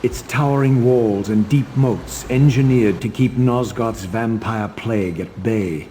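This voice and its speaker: An actor playing a vengeful undead male vampire wraith, speaking in a grim, sardonic, measured way for a video game. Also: fairly low pitch, dark tone, a little hiss or hum, close to an everyday voice. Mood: brooding. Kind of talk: video game voice acting and narration.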